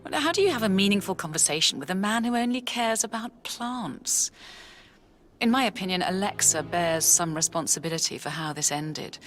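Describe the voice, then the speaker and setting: A woman's voice: mid-range voice, a bit bright, slight background noise, close to an everyday voice. A woman voicing a haughty, guarded upper-class family member in a video game, composed and politely disdainful. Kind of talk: video game cutscene acting.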